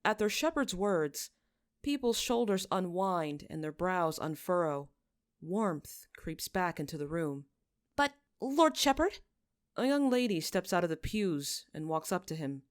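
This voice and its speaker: A woman's voice: lowish voice, lively intonation, unhurried, smooth, no echo, clean studio sound. A woman reading scripted story narration, in the manner of an audiobook. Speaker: fan fiction narrator.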